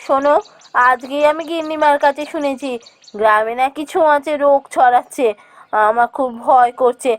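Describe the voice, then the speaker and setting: Woman acting a high-pitched, caring village wife. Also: moderate pace, lightly acted. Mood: worried, caring. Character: caring, worried village wife working as a maid. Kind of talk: cartoon voice acting.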